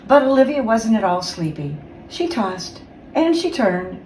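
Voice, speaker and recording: A woman reading a children's storybook aloud as a guest reader, with expressive, dramatic storytelling. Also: fairly high pitch, measured pace. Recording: roomy, distant mic, faint noise.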